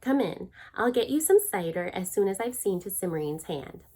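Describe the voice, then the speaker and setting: A brisk, practical storybook witch, read by a woman. She is bossy and firm, with a no-nonsense way of giving orders. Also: medium pitch, lively intonation, mellow, slightly rough. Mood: businesslike.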